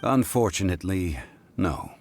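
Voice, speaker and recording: A man playing a calm, learned gentleman in a video game, speaking in a measured, formal, thoughtful way. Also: deep voice, soft background music. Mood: matter-of-fact.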